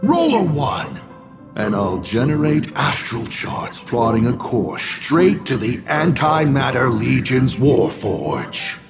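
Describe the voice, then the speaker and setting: A man voicing a teasing, theatrical talking die in a video game, smooth-talking and mock-polite. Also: medium pitch, huge pitch swings, slow pace, music in the background, full character voice. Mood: playful, grandiose.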